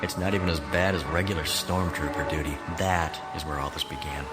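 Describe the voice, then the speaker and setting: Low-voiced grim soldier. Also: male voice, fairly even intonation, fairly quick. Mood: grim, reflective. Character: grim, determined male elite imperial soldier. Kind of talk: science fiction audio drama acting and narration. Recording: loud music under the voice.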